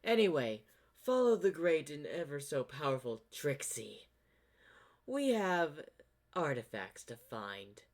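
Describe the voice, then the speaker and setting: A man's voice: on the high side, sing-song, takes it slowly, voice pushed up, exaggerated voice. A man voicing a boastful, sadistic female unicorn pony sorceress in a fan-made story, smug and taunting.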